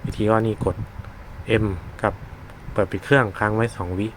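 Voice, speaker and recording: A man with a fairly low voice talks through a product demonstration in an informal, unscripted way. He explains step by step, often pausing to find the words. There is clear noise behind him, with vehicle sounds. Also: low-quality recording. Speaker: product reviewer demonstrating a gadget.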